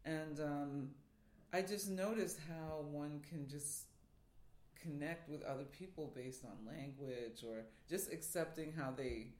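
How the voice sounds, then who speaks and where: A man's voice: fairly high pitch, takes it slowly, darkish tone. A man from New York City, a theater professor, speaking thoughtfully as an interview guest. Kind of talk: reflective interview talk. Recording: roomy echo.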